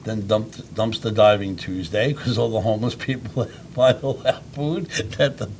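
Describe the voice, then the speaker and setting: A man's voice: fairly low pitch, fairly even intonation, moderate pace, slight background noise. A man co-hosting a live web show, trading crude, mocking jokes in casual banter. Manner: loud, mocking, crude joking.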